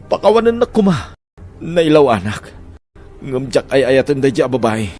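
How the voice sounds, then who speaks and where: A man's voice: mid-range voice, sing-song, normal speed, a little mellow, faint music behind. A man with an Ilocano accent acting a flustered, defensive husband begging forgiveness in a radio drama. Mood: agitated, pleading. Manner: pleading, agitated.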